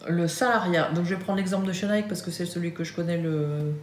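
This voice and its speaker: Une femme française, la trentaine, qui parle de son travail en vivant aux États-Unis, avec beaucoup d'hésitations et de faux départs. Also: female voice, on the low side, fast talker, a little mellow. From France. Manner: hesitant, many fillers and restarts. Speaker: Nike employee in the US. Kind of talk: casual vlog chat. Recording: echoey room with faint hum.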